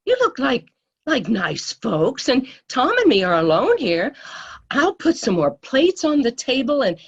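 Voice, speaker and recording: Slow, husky older country wife. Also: female voice, high-pitched, huge pitch swings, lo-fi sound, full character voice. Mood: hospitable. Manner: hesitant, homely, repeats words. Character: hospitable, gentle older storekeeper's wife. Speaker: actor in a radio play. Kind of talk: family radio drama acting.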